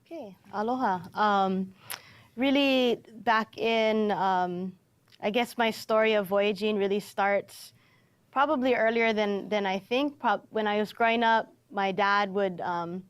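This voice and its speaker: A woman's voice: mid-range voice, unhurried, clear, resonant tone, no echo. A middle-aged woman from Hawaii, an educator, telling her story as a studio interview guest. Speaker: guest, educator and canoe captain. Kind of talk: recounting personal story in interview.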